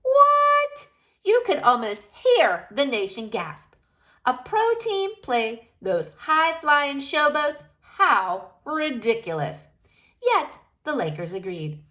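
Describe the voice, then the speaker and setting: A woman reads a picture book aloud in a bright, fairly high voice. Her delivery is unhurried and theatrical, leaning hard on important words and drawing them out for young listeners. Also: huge pitch swings. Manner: emphatic, dramatic phrasing with drawn-out key words. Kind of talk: expressive children's picture book read-aloud.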